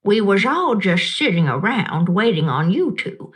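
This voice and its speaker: A woman voicing a wise, kindly old woman with a slow, folksy drawl. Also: mid-range voice, sing-song.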